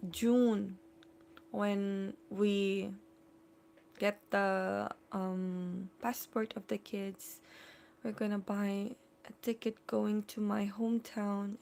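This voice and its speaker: A woman's voice: mid-range voice, vocal fry, darkish tone. A woman in her thirties from the Philippines, chatting casually with viewers on a live stream from home and answering their comments. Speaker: live-stream host, mother.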